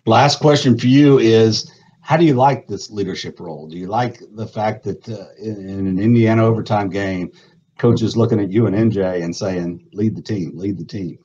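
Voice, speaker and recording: A man's voice: fairly low pitch, normal speed, dark tone, slightly rough, dull audio. A man hosting a live booster club interview, talkative and upbeat, introducing and questioning players.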